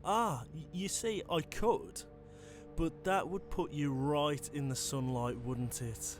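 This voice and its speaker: A man's voice: fairly high pitch, slow, faint music behind. A man playing a taunting, impatient monster hunter with a casual, sardonic menace, in a dramatic ASMR audio roleplay. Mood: smug, taunting.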